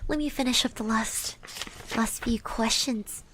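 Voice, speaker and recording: A woman doing an ASMR roleplay as a caring girl classmate, soft-spoken and hesitant, close to the mic and often slipping into breathy whispers. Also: on the high side, measured pace, background noise. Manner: hesitant, gently reassuring, speaks of herself in third person. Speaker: ASMR roleplay performer, streamer. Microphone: close microphone.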